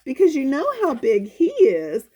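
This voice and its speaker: A woman's voice: high-pitched, huge pitch swings, moderate pace, warm tone. A woman reading a picture book aloud to young children, adding folksy exclamations and coaxing little questions as she goes. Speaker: children's storytime reader.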